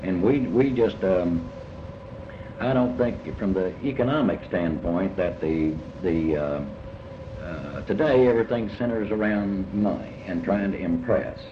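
An older man speaking reflectively in an interview about his life, halting and often repeating words as he rephrases. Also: low-pitched. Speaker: interviewee recalling early life. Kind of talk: reflective oral history interview. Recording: muffled, noisy, echoey room recording.

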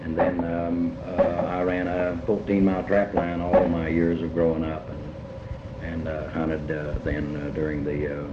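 A middle-aged man from eastern Kentucky with an Appalachian accent, reminiscing in an interview in a halting, reflective way with many pauses. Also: low voice. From eastern Kentucky, United States. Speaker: writer being interviewed. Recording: muffled, noisy, roomy amateur tape.

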